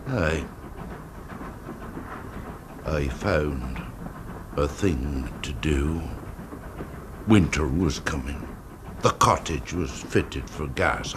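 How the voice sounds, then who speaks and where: A male actor playing a meek, bitter, grieving older blind man in a radio drama, speaking in halting phrases. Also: very deep voice, lively intonation, heavily acted. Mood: brooding, confessional. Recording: very noisy old radio drama recording.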